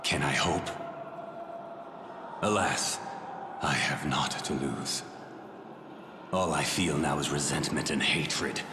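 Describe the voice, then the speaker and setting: Very deep, bitter skeleton. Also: male voice, slow, pauses often, background noise. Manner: archaic, brooding, ominous speech. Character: resentful walking skeleton wandering the land of the dead. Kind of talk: dramatic video game voice acting. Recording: voice with reverb under loud music.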